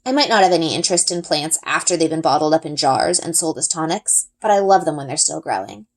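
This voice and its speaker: A woman narrating a children's book as an expressive read-aloud. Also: deep voice, fast talker. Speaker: story reader.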